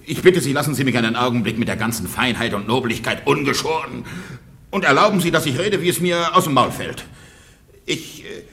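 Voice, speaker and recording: An actor playing a rich, disgruntled peasant husband in a German radio play, blunt, grumbling and coarse-spoken. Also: male voice, mid-range voice, a little fast, pushed and bright. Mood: exasperated.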